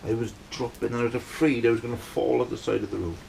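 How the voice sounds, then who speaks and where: A Welsh man in middle age tells a story in a loose, meandering way and keeps pausing to ask "right?" His voice sits at an ordinary middle pitch. A faint hiss runs under the recording. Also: fairly even intonation, gravelly, a little mellow. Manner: rambling, keeps checking in with "right?". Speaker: band member telling a story. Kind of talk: casual storytelling.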